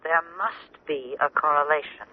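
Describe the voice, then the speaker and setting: Troubled psychiatrist on thin tape playback. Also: female voice, sits low, normal speed, phone-quality sound, slight background noise. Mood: grave, clinical. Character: clinical, troubled female psychiatrist dictating notes. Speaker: radio actor. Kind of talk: radio drama acting, dictated notes.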